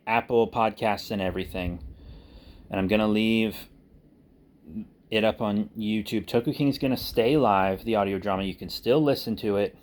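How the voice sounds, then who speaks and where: A man giving a casual, rambling update to viewers as an online creator, informal and often correcting himself as he thinks aloud. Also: medium pitch. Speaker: online video creator and writer.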